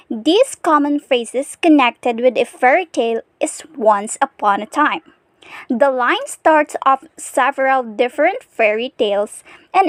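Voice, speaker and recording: A woman giving a scripted educational presentation, reading aloud in an explanatory way. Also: high-pitched.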